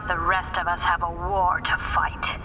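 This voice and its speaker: A man playing a brisk military official who delivers a formal order in a sci-fi audio drama. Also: high voice, completely flat delivery, recorded from a distance, highs cut off, music in the background. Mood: formal, curt.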